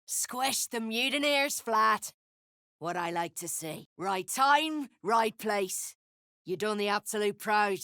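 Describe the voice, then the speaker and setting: A woman's voice: medium pitch, lively intonation, slow, pushed and bright, dry recording, clean studio sound, heavily acted. A woman voicing a ruthless zealot commander in a video game, with clipped, coarse speech. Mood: ruthless, approving. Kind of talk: video game character acting.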